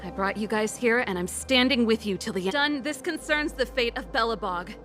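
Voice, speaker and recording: A woman's voice: on the high side, fairly quick, music in the background, lightly acted. A woman playing an easygoing, bold rock musician in a video game, speaking in a casual, familiar way. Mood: determined.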